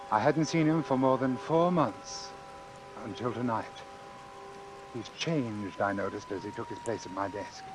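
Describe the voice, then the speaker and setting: An actor playing a calculating, formal doctor who deliberately and persuasively arranges his own death in an old-time radio suspense drama. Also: mid-range voice, close to an everyday voice. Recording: hissy vintage radio recording with rain. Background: hiss and falling rain.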